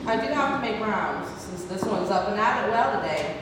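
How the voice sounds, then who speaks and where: A woman at a play table read, playing a kindly, tactful nurse with a polite, measured manner. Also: medium pitch, expressive, natural voice. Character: kindly, tactful female nurse, gently firm. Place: large indoor theatre room, far microphone. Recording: distant, echoey hall, noisy room recording.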